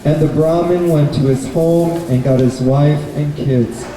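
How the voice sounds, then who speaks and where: Very echoey, slow-paced male storyteller. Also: fairly high pitch, steady tone, warm tone. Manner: simple, deliberate phrasing. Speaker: puppet show storyteller and presenter. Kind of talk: live children's storytelling to an audience. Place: indoor bookstore event. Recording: very echoey, noisy hall, distant microphone.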